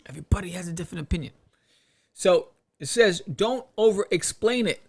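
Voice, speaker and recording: A man hosting a podcast in a casual solo talk, rambling in an animated way and often throwing out rhetorical questions. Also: sits high, a little fast, slightly rough. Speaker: podcast host. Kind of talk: casual solo talk to camera or mic about crowdfunding.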